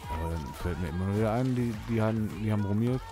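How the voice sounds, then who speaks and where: Lower-voiced man chatting over music. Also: fast talker. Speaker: podcast panelist guessing quiz answers. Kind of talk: casual banter. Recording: music playing behind the voice. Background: background music.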